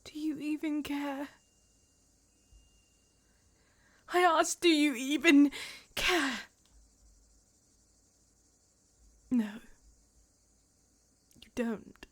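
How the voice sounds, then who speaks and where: A woman playing a proud, betrayed royal wife in a dramatic fantasy roleplay, indignant and heartbroken, speaking in a breathy, half-whispered, accusing way. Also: sits high, slow pace. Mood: hurt, pleading.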